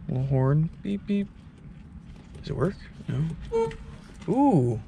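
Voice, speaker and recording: A man's voice: on the high side, takes it slowly, vocal fry, heavy background noise. A young man chatting casually while he works on an old car, joking and filling his talk with "like". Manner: relaxed, joking, lots of "like" fillers. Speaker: car restorer. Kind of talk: casual hands-on chat. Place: outdoor lot, then a garage workshop.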